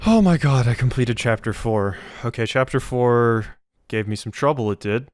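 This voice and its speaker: A man's voice: mid-range voice, animated, moderate pace, a little mellow, no echo, studio quality. A man doing casual gameplay commentary, muttering to himself as he thinks aloud in a self-deprecating way. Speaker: let's play host.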